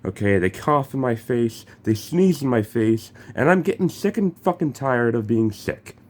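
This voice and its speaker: A man's voice: medium pitch, expressive, moderate pace, darkish tone. A young man vlogging casually while sick with a cold, his throat congested; he swears freely and stumbles over his words. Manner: swears freely, stammers and restarts. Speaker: gaming YouTuber. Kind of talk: casual vlog commentary.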